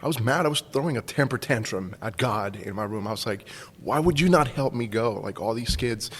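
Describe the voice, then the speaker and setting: A man's voice: mid-range voice, lively intonation, brisk, fairly bright tone, big-room sound, slight background noise. A man in his forties from Cuba, casually telling a personal story as a podcast guest. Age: 40s. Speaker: school staff member, podcast guest.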